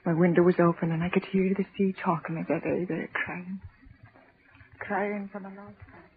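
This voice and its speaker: Actress as a grieving old widow, sorrowful, confiding, tinny radio, faint hiss. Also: female voice, fairly low pitch, steady tone, moderate pace, lightly acted. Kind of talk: old-time radio drama narration.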